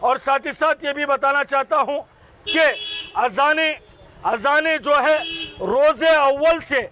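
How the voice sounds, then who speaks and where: A man with a very high-pitched, sharp voice. He makes an impassioned public statement in emphatic, declamatory phrases, often repeating himself for effect. Faint street noise sits behind him. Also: takes it slowly. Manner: emphatic, declamatory, rhetorical repetition in short phrases. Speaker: advocate and community spokesman.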